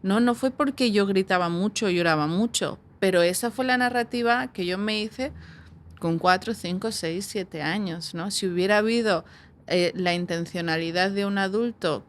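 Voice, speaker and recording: A woman's voice: medium pitch, moderate pace, a little hiss or hum. A woman guest recounting her own experience in a thoughtful, conversational way, often ending points with tag questions. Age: adult. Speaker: guest, adoptee. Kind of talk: personal testimony in conversation.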